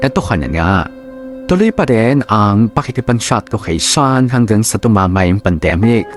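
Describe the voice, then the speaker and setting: A man's voice: fairly low pitch, big pitch swings, moderate pace, faint music behind. Chatty male podcast storyteller. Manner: chatty, slangy. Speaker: narrator. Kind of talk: scripted first-person storytelling.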